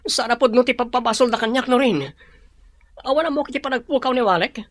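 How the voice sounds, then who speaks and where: A woman acting as a stern, demanding groom with an Ilocano accent. The voice is high for the part, in melodrama style. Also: a little fast, lightly acted. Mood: bitter, insistent. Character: stern, demanding jilted bridegroom.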